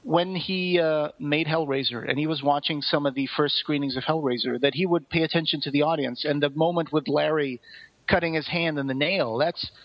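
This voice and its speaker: A man with a slightly high voice talks casually as a podcast co-host. He explains things in careful detail. The sound is somewhat dull and muffled. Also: steady tone, brisk, no echo. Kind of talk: casual discussion.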